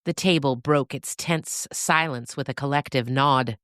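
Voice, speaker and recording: A woman's voice: rumbling low, unhurried, fairly bright tone, no echo, studio quality. A woman narrator delivering scripted fiction.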